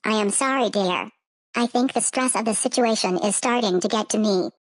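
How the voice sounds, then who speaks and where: A woman's voice: medium pitch, normal speed, no room sound, close to an everyday voice. A woman playing an emotional, affectionate cyborg companion in a science-fiction drama. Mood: apologetic, anxious. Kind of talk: science-fiction film dialogue.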